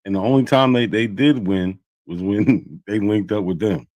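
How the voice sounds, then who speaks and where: A man co-hosting a stream, reacting to a clip with excited, hyped chat. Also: lowish voice, normal speed.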